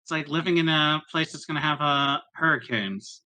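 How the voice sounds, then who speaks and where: A man in a tabletop roleplaying game, speaking casually in character, blunt but often pausing to think. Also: on the high side, sharp tone, poor audio.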